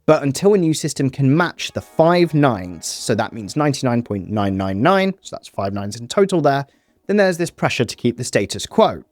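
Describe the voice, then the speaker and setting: A man's voice: medium pitch, sing-song, moderate pace, no echo, faint music behind. A man presenting a scripted explainer with casual, conversational asides.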